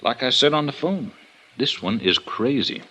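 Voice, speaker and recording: A man's voice: mid-range voice, expressive, normal speed, sharp tone, poor audio. An actor playing a blunt, sardonic male police lieutenant in a 1950s radio drama.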